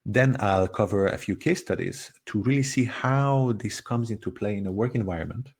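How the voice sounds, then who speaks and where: A man with a low voice and a Hungarian accent carrying a hint of French talks through an online training session. He is friendly and conversational, often restarting phrases and filling pauses as he goes. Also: vocal fry, darkish tone, slightly rough. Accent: Hungarian with a slight French tinge. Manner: friendly, conversational, with frequent fillers and self-corrections. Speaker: cross-cultural coach and trainer. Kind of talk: webinar presentation.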